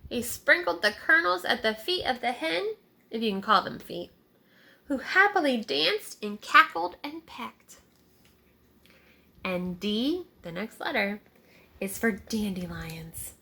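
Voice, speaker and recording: A woman's voice: fairly high pitch, very animated, takes it slowly, gravelly, sharp tone. A woman teacher reading a picture book aloud to young children, checking in with questions as she narrates.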